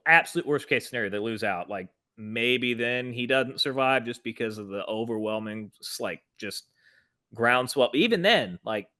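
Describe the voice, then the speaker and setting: A man's voice: mid-range voice, expressive, normal speed, bright, forceful tone. A man co-hosting a sports podcast, talking casually in a blunt, emphatic, exasperated way. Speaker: podcast co-host.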